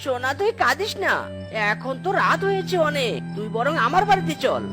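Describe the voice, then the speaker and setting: A woman's voice: high-pitched, sing-song, fairly quick, over music. Woman playing a kind cartoon father. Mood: gentle. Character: kind fatherly male animal, caring husband. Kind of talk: cartoon voice acting.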